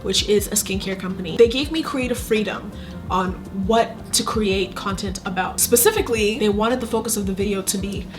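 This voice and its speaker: A woman's voice: mid-range voice, brisk, music in the background. Chatty young woman giving advice. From Zimbabwe. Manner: chatty, emphatic, uses lots of fillers. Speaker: content creator. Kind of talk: casual advice vlog. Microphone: phone camera.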